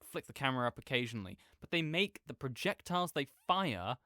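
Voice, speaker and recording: A man playing an opinionated gamer roommate in an audio roleplay, ranting casually and haltingly, often correcting himself with apologetic asides. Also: medium pitch, big pitch swings, sharp tone, no room sound, studio quality. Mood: explaining, griping. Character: opinionated gamer roommate ranting good-naturedly about games. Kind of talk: rambling casual rant, sleep-aid audio roleplay.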